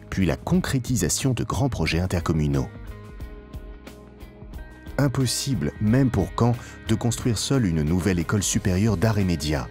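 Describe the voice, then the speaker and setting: A man reading a scripted promotional documentary narration in a formal, measured way, with emphatic phrasing. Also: low-pitched, darkish tone. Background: background music.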